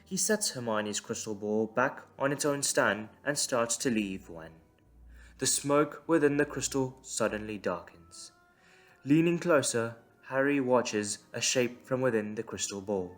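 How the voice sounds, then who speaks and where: Guy narrating an audio drama, scripted storybook read, medium pitch, soft music under him. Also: unhurried.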